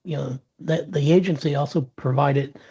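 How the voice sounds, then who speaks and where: A man with a dark, husky voice tells a candid story as an interview guest, stumbling and restarting as he goes. The webinar audio sounds dull and lo-fi. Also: on the high side, steady tone, normal speed. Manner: frequent restarts and fillers, earnest. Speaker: interview guest, sales professional. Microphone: video call.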